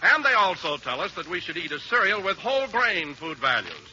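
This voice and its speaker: A man with a very bright, high voice delivers a folksy radio ad with swooping intonation. The sound is an old 1940s broadcast with a dull band. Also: very animated, a little fast. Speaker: commercial announcer. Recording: band-limited old radio with faint noise.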